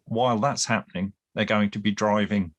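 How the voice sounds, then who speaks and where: A man with a fairly low voice lays out his points at length in a relaxed podcast discussion. He restarts his phrases often and slips in dry humour. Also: moderate pace, lo-fi sound. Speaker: guest expert on Cold War British Army.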